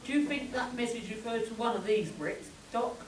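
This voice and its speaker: A woman's voice: a little high, moderate pace, a crowd in the background, lightly acted. A woman playing a curious, wide-eyed adventurer in a spoof radio play performed live. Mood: wondering. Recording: echoey room, distant mic.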